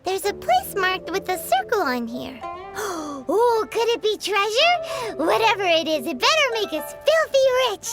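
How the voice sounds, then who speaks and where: A woman voicing a tiny, excitable, greedy and chatty girl companion in a video game, with a squeaky childlike voice and an exclaiming manner. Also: a little slow, loud music behind the voice, full character voice. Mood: curious. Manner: speaks of self in third person, exclaiming. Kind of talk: video game cutscene voice acting.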